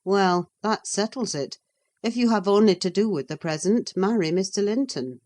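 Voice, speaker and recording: A woman's voice: mid-range voice, a little mellow, lightly acted. A woman reading a sensible, reproving woman servant. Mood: reasoning. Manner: measured, reproving. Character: sensible, practical woman servant, cautious and superstitious. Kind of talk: audiobook character reading.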